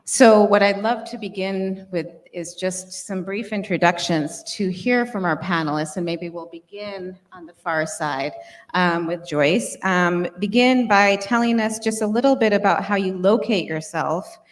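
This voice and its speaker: A woman moderating a panel, giving a prepared academic introduction in a deliberate, phrase-by-phrase way on an auditorium stage with a handheld mic. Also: mid-range voice. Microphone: handheld microphone. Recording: reverberant hall sound.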